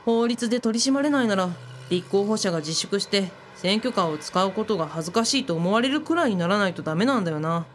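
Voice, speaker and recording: A woman's voice: mid-range voice, lively intonation, quick pace, few pauses, a little hiss or hum, natural voice. A woman playing an opinionated, blunt eighteen-year-old youngster in a radio drama. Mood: indignant. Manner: blunt, grumbling.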